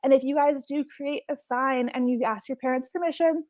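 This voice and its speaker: A woman's voice: high-pitched, steady tone, a little fast, darkish tone, no echo. Upbeat young woman, dry close sound. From Hawaii. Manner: chatty, enthusiastic, laughs often. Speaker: marine scientist presenter. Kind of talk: casual online workshop presentation.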